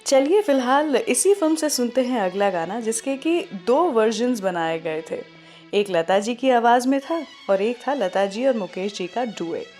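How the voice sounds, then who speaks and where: A woman's voice: a little high, expressive, moderate pace, a little mellow, soft background music. A woman with an Indian accent hosting a radio show, telling stories conversationally and addressing listeners with questions. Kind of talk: scripted radio presenting with film trivia and song introductions.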